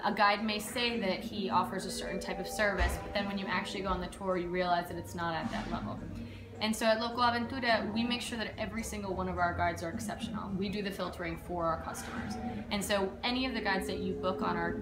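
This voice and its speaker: A woman's voice: medium pitch, fast talker. Young female founder from Chile, explanatory interview delivery, echoey room, prominent music bed. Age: young adult. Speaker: company founder being interviewed. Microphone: camera a few feet away. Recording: roomy echo, loud music behind.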